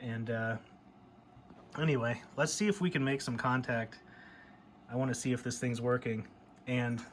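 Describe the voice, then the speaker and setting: A man's voice: medium pitch, a little hiss or hum. A middle-aged American man chatting casually and nostalgically, in a rambling show-and-tell style. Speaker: hobbyist vlogger showing a CB radio.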